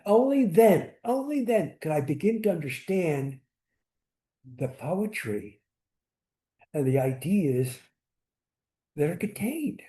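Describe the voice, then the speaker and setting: Older male panelist, mellow higher voice, halting storyteller, roomy echo. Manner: halting, restarts, Yiddish words. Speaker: synagogue founder on a panel. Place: community hall panel. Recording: echoey room.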